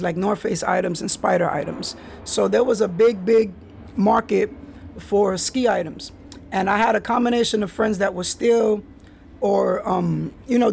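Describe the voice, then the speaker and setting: A man's voice: sits high, a little mellow, slight background noise. A man hosting a podcast, telling stories in a laid-back way with street slang. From the Bronx, New York. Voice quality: raspy. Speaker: podcast host. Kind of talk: casual solo podcast storytelling.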